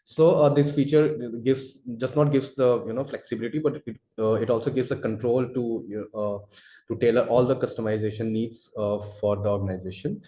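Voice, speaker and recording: A man presenting a software product in a webinar, with a halting, informal delivery full of verbal tics. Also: medium pitch, fairly even intonation. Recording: echoey room sound.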